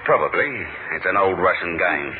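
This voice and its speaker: An actor playing a world-weary, wry British spy with a dry, deadpan delivery in a radio thriller. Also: male voice, low voice, moderate pace, phone-quality sound, background noise. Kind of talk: 1970s radio spy drama narration. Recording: thin old radio recording, loud music.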